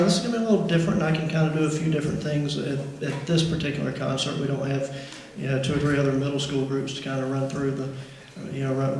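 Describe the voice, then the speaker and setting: A man's voice: on the high side, a little mellow. A male orchestra teacher, introducing a school concert in a rambling way with many fillers. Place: large school auditorium. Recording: echoey auditorium, faint noise.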